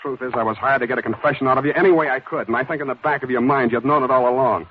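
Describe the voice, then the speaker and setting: An actor playing a wry, cynical American private detective in a brusque 1940s radio drama style. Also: male voice, medium pitch, very fast, breathy edge, lightly acted. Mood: bitter, scornful. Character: wry, hard-boiled male private detective. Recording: dull, lo-fi 1940s radio recording.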